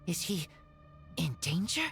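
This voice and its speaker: A man voicing a shy, loyal wolf-raised boy in a fantasy game, speaking haltingly in short broken sentences. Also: high voice, sing-song, gravelly, a bit bright, husky, soft background music, full character voice. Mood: worried, earnest. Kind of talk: scripted fantasy game dialogue.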